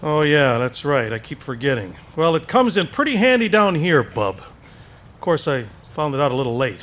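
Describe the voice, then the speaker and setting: Wisecracking despairing husband,, fairly high pitch, radio play. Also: male voice, very animated, fairly bright tone. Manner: fast-talking, wry. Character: desperate, wisecracking everyman husband. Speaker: radio actor. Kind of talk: 1940s radio acting.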